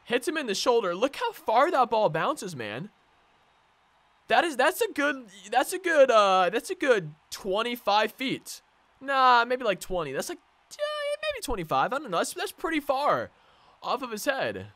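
A young man with a sore throat, casually commentating his own baseball video game from a home gaming room on a desk mic, getting excitable and sing-song over big plays. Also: very high voice, moderate pace, dry recording. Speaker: gaming channel host. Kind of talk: live gameplay commentary.

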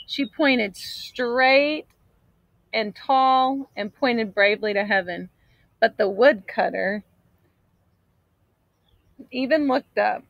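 A woman in her thirties reads a children's picture book aloud. Her voice sits a little high and she tells the story with expressive drama. The sound is dry, recorded outdoors. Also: takes it slowly, gravelly, pauses often, dry recording, highs cut off. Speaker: storybook reader. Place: outdoors in an open grassy area.

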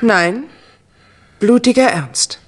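An actress playing a composed young wife in a 1960s radio crime drama, polite and measured. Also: female voice, fairly high pitch. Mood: grave, composed. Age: young adult.

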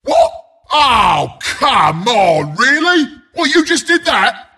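An actor voicing a scrappy, cocky porcupine brawler as a video game announcer, with a London Cockney accent, a gravelly rasp and cheeky, blunt banter. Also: male voice, high-pitched, sing-song, a little slow, sharp tone, exaggerated voice. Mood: indignant, incredulous. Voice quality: gravelly, raspy.

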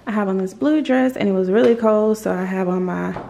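A woman's voice: medium pitch, a little fast, creaky, dark tone, lo-fi sound, slight background noise. A young woman in her twenties chatting to a handheld camera in her apartment, rambling with lots of ums and repeats. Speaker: lifestyle vlogger. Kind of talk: casual chat to camera. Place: apartment living room and kitchen, indoors. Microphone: handheld camera on a grip, close.

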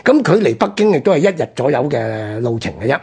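A man with a Hong Kong Cantonese accent telling history conversationally as a radio host, explaining point by point in a husky, rough-edged voice. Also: medium pitch, very animated, fast, mellow.

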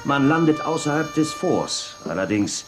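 A man's voice: fairly high pitch, big pitch swings, moderate pace, music in the background. An actor playing a confident, knowing Western lawman in a children's audio drama. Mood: explaining, knowing.

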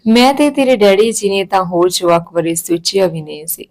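A woman's voice: medium pitch, lively intonation, normal speed, darkish tone, lightly acted. Woman voicing an emotional, tender mother. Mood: helpless, wistful. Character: tearful, loving Punjabi mother explaining herself to daughter.

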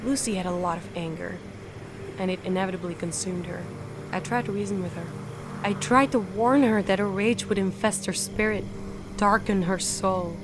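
Woman acting as soothing grim reaper lady in a radio drama, solemn n measured, noisy bg. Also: mid-range voice, close to an everyday voice. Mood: grave, regretful.

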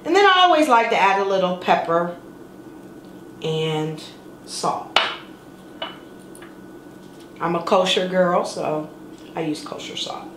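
A middle-aged woman hosts a home cooking demonstration in an easygoing, chatty way, now and then playfully singing a word. She is recorded by a camera mic set back in her kitchen, where the sound rings off hard surfaces. Cutlery and pans clink clearly behind her. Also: on the low side, animated, slow, pauses often, noisy background. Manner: chatty and playful, sings words for fun. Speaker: cooking show host. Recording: echoey kitchen with clattering dishes.

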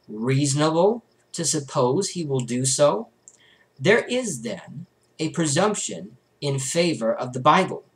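A young man reads a religious book aloud in a measured, formal, rhetorical way, at a desk in a small bedroom. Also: a little high, low-quality recording. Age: young adult. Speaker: amateur audiobook reader.

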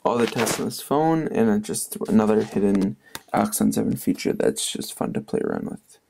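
A man's voice: on the low side, normal speed, vocal fry, noisy background. A man hosting a tech channel, talking offhand in a casual phone tutorial as he taps through screens. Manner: offhand, talking while tapping through tests.

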